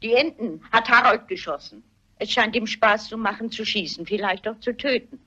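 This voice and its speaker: An older actress playing a shrewd, polite, dignified elderly woman sleuth posing as a housekeeper in a German radio play, with a husky voice. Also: on the high side, normal speed, bright, forceful tone. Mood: matter-of-fact. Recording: dull, muffled radio play recording.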